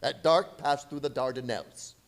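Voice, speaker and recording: An actor playing a fearful ship's captain in a radio play, reading out log entries in terse, dramatic fragments. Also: male voice, mid-range voice, moderate pace, lightly acted. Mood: brisk, formal. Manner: terse, telegraphic, dramatic delivery.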